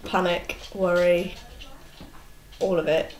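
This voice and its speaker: A woman's voice: lowish voice, flat delivery, slow, darkish tone. A 20-year-old woman talking candidly in a documentary interview, in an informal, plain-spoken way. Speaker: young mother being interviewed. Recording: echoey room with faint background noise.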